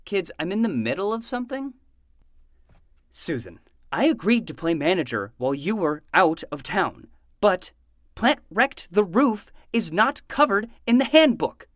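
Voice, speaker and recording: Casting: a woman as a harried, sarcastic librarian man for audio drama, swinging pitch, close dry sound. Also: no echo. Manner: exasperated, sarcastic, ranting. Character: exasperated, sarcastic male librarian, grown adult. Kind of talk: fiction podcast acting.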